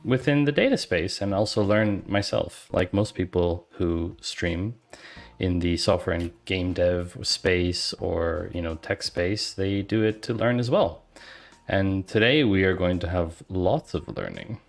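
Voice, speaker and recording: A woman from Switzerland and Canada with a neutral accent, a data content creator chatting on a livestream in an earnest, measured way. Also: extremely low pitch. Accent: neutral Canadian-Swiss. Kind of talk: casual livestream chat.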